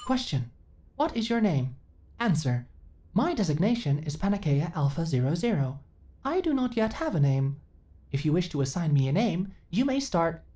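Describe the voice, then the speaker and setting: Soft, low-voiced female android, acted by a woman. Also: sing-song, moderate pace. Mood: matter-of-fact. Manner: stilted, formal, literal, robotic phrasing. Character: earnest, naive female companion android learning emotions. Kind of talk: ASMR sci-fi audio roleplay.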